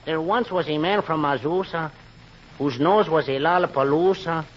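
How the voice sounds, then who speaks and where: A man playing an easygoing Mexican comic sidekick with a singsong, deliberate delivery in a 1940s radio comedy. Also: fairly high pitch, lightly acted. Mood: eager. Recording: noisy, muffled 1940s radio recording.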